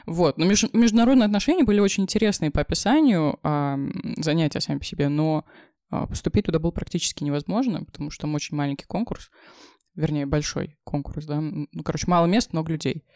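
A young woman, an English teacher, telling her story in a casual chat, with many fillers and quick laughs. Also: low voice, dark tone, dry recording, studio quality. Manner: fast, chatty, lots of fillers and laughs.

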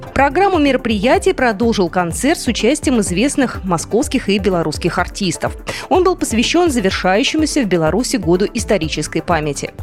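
A woman's voice: fairly high pitch, darkish tone. A woman presenting news, reading a script as a studio newsreader.

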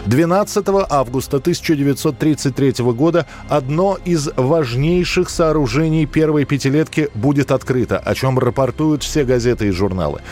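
A man's voice: medium pitch, darkish tone. A man hosting a radio programme, reading scripted documentary narration.

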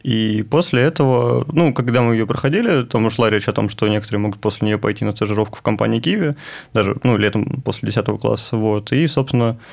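A man in his twenties, a podcast guest, speaking in an unhurried, thoughtful way. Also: on the low side, vocal fry, a little mellow. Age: 20s. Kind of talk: casual conversation.

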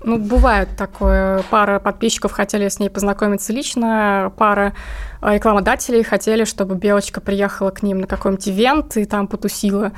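A woman's voice: mid-range voice, little pitch movement, fairly quick. A woman being interviewed, telling stories casually in informal, slangy language. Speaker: interviewed comic artist and cat owner.

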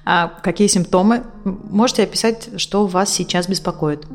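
A woman's voice: mid-range voice, normal speed, natural voice. A woman in her thirties playing an attentive psychotherapist in an audio drama, speaking naturally and with care. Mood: attentive, probing. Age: 30s. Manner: attentive, gently probing. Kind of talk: therapy dialogue in an audio drama. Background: music.

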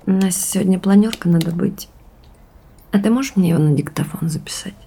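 A woman's voice: fairly low pitch, brisk, creaky, warm tone. An actress playing a candid, reflective woman in an audio drama, talking in a confiding, opinionated way. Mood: intimate, musing. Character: candid, reflective woman, intimate partner hoping for motherhood.

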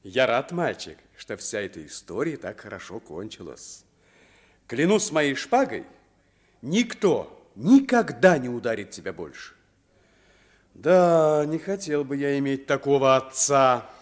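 An actor playing a brave, kindly, amused swordsman in a 1950s radio play, hearty and gently ironic. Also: medium pitch, very animated, a little slow. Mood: hearty, protective. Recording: vintage 1950s radio recording.

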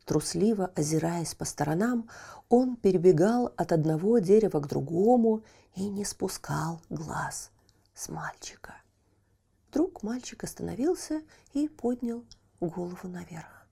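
A woman narrating a scripted children's fairy tale as a storyteller, expressive and careful. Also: lowish voice, measured pace, vocal fry, slightly rough.

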